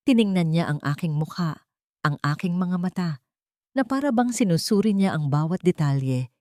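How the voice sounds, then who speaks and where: A woman narrates a dramatic story in the first person. Her voice sits low for a woman. The sound is dry, with very little room on it, and the delivery is clearly scripted storytelling. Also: no echo.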